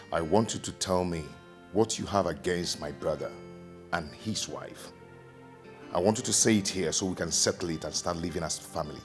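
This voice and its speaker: A man's voice: low voice, lightly acted. A man playing a husband and younger brother who pleads for peace. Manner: pleading, calm.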